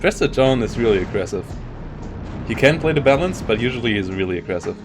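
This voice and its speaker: A man's voice: medium pitch, animated, fast, over music. Rambling man casting a strategy game. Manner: rambling, self-interrupting, frequent restarts. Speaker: esports caster. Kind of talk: live game commentary.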